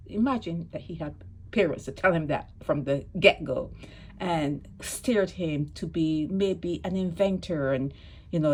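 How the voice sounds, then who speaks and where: A woman's voice: sits low, a little mellow, husky. A woman giving casual, emphatic commentary on a court case, repeating her points and checking in with "okay?".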